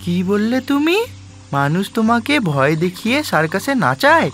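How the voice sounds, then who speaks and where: A woman's voice: mid-range voice, sing-song, moderate pace, soft background music, pitched below the performer's own voice, lightly acted. A woman voicing a curious, cocky young male lion cub in a cartoon, with a dramatic, exclaiming delivery. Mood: curious, amazed.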